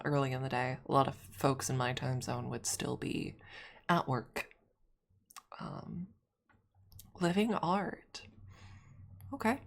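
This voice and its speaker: A low, gravelly young woman chats casually with her stream, her voice a little snuffly. She rambles and restarts her sentences as she goes, sometimes dropping to a soft breathy hush.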